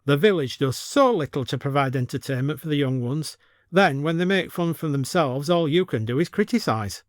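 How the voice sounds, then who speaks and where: A man's voice: on the high side, expressive, normal speed, no room sound, studio quality, close to an everyday voice. A man voicing a genteel, cosy elderly village woman in an audio story, sweetly chiding and proud. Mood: huffy. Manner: genteel, cosy, indignant when crossed.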